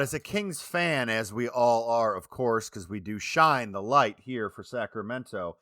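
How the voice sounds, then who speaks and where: A man producing and hosting segments on a sports podcast, throwing energetic questions at the co-hosts. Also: mid-range voice, no echo, studio quality. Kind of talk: casual sports chat.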